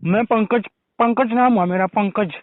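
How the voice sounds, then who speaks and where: A man's voice: sits high, moderate pace, slight background noise. A man with a Kumaoni accent making a comic prank call, persistent and pleading. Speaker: prank caller. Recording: tinny phone line.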